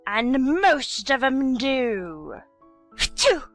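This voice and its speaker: A hoarse, growling Duchess, bad-tempered and brusque. She drags her words out slowly in a high-set voice that lurches up and down, snapping rudely as she goes. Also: sing-song, soft background music, heavily acted. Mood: scornful. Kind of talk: dramatized storybook audiobook.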